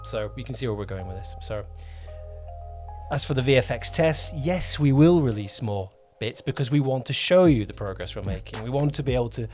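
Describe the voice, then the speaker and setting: A man with an average-pitched voice chats as a podcast host. He is emphatic and often repeats words to stress a point. Faint music plays behind him. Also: expressive, a little fast, a little mellow. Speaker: podcast host, audio drama producer and director. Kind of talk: casual podcast chat.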